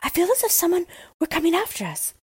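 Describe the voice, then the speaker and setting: A woman's voice: extremely high pitch, huge pitch swings, fast talker, voice pushed up, exaggerated voice. A woman voicing an earnest, devoted little girl in a storybook reading. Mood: fearful. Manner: eager and pleading.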